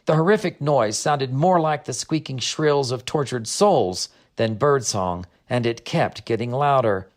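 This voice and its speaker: Measured male storybook narrator. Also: mid-range voice. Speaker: narrator, author reading own book.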